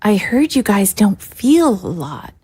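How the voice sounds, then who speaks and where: A woman's voice: mid-range voice, big pitch swings, slow, mellow, breathy edge, heavily acted. An actress playing a timid, apologetic young female recruit, hesitant and kind, in an audio drama. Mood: earnest. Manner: hesitant, meek.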